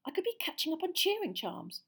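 A woman voicing a sharp, impatient teenage schoolgirl who speaks in an exasperated, hissing way. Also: very high voice, very animated, a little fast, vocal fry, pitched above the performer's own voice, full character voice.

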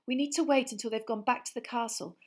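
A woman's voice: on the high side, fast, pitched above the performer's own voice, lightly acted. A woman voicing a nervous, clever teenage girl in an audiobook reading.

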